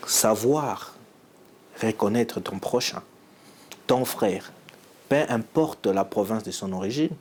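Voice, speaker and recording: Emphatic Congolese man, low voice. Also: a little mellow, a little hiss or hum. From Democratic Republic of the Congo. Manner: emphatic, rhetorical, measured phrasing. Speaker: provincial education official. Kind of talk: field interview statement.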